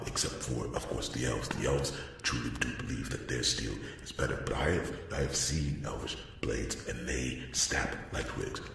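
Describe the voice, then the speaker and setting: A man playing a chatty, bashful dwarf blacksmith in an ASMR roleplay, rambling and stammering as he corrects himself in a gravelly voice. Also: sharp tone, heavily acted. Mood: proud, indignant. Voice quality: very deep, gravelly. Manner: rambling, stammering, flustered repetitions and self-corrections. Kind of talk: ASMR roleplay character monologue. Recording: very echoey room, distant, faint noise.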